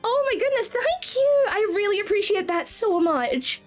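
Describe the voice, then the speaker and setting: Scottish woman streamer, very high and lively, giggly and effusive, faint music underneath. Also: moderate pace. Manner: bubbly, giggly, effusive thanks. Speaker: VTuber streamer. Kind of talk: live-stream chat with viewers. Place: indoor home streaming setup.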